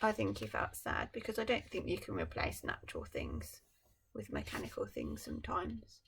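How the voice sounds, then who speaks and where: A woman teacher reading a storybook aloud to children, adding gentle, musing asides to her young listeners. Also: deep voice, wildly swooping pitch, moderate pace, gravelly, a little hiss or hum. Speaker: primary school teacher.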